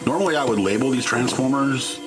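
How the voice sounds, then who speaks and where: A man's voice: medium pitch, a little fast, over loud music. A man narrating a hands-on electronics teardown in an informal, wry, self-deprecating and mildly crude way. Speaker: host of an electronics teardown channel. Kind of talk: casual hands-on tutorial narration.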